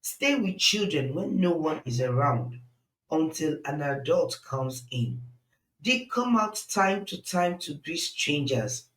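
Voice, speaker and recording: A stern father from a dramatic folktale, as a narrator plays him, insistent and full of warning. The delivery is slow, with pitch that moves up and down widely. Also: big pitch swings, fairly bright tone, roomy sound, low-quality recording. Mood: alarmed, insistent. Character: stern, alarmed African father revering snakes.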